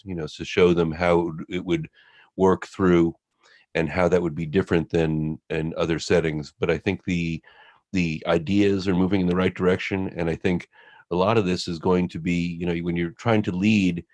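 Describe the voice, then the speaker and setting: Man, very deep voice, dry close sound, rambling and thoughtful. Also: darkish tone, no room sound. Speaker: committee participant.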